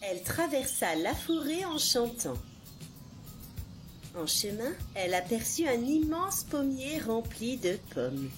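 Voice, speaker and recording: A woman narrating a scripted fairy tale for young children in an expressive, dramatic way. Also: a little high, takes it slowly. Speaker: children's storyteller. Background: music behind the voice.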